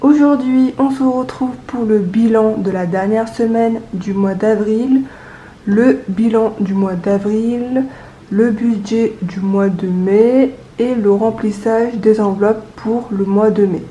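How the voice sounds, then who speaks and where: A young adult woman with a cold and a stuffy nose, chatting casually to camera, with many hesitant pauses. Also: fairly high pitch, mellow, echoey room, slight background noise, low-quality recording. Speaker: budgeting vlogger.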